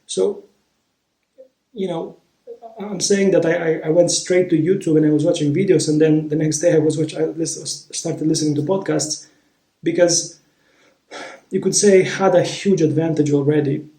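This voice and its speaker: A man shares a personal story in a reflective, unhurried way, often pausing to find the right word. His voice sits a little high but sounds soft and mellow. The room around him rings with echo. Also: fairly even intonation. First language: Romanian. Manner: thoughtful, searching for words. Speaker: vlogger. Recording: roomy echo.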